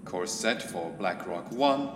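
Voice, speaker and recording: A man's voice: deep voice, expressive, takes it slowly, noisy background. A man playing a businesslike spaceship navigator in a science fiction audio drama, in an echoing space. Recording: echoey long reverb, loud ship ambience.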